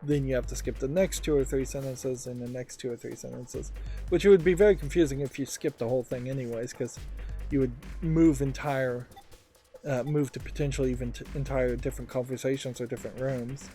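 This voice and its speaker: A man commenting on a game as he plays, with a soft, dark tone at a middling pitch. He thinks aloud haltingly, with faint music behind him. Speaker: let's play host. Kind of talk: unscripted gameplay commentary.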